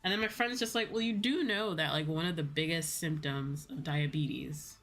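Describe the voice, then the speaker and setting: An American woman talks casually on a livestream in a fairly low-pitched but bright, forward voice. She rambles through her stories in an animated way, voicing the other sides of conversations. Faint music plays behind her. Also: moderate pace. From United States. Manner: animated storytelling, acting out reported dialogue. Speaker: VTuber livestreamer. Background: background music.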